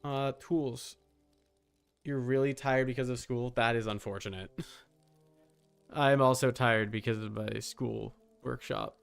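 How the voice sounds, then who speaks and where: A young man talks casually while livestreaming a game. His voice is smooth and resonant with a fry at its edges. He rambles and halts, often repeating a word before going on, with faint music behind him. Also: medium pitch, steady tone. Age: young adult. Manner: rambling, halting, repeats words. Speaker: game streamer.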